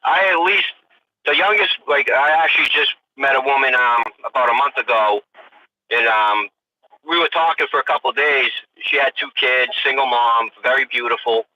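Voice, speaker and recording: Bright, forceful American man, tinny distant sound. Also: mid-range voice, moderate pace. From United States. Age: 40s. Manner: emphatic, repetitive, swearing. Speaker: livestream host. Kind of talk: casual opinionated livestream chat. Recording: tinny radio-like sound, distant mic, faint noise.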